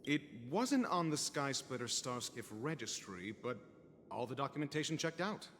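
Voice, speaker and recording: A man's voice: medium pitch, sing-song, moderate pace, fairly bright tone, a little hiss or hum. A man playing a polite, dutiful soldier in an anime game, speaking formally and articulately. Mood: polite, helpful. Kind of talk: scripted anime game voice acting.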